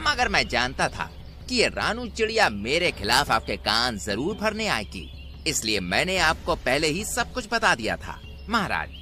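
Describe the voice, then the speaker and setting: A man voicing a sly, insolent wolf in an animated fable, with a defiant, theatrical delivery. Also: on the high side, exaggerated voice. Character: sly, insolent male wolf scheming in a forest.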